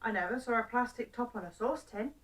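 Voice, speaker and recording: A fussy little old man from a children's storybook, acted out by a woman, with a high-pitched voice. He is hungry and easily exasperated, exclaiming and muttering to himself. The recording sits away from the mic. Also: brisk, fairly bright tone, distant mic, close to an everyday voice. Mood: puzzled, hopeful. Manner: exclamatory grumbling and talking to himself.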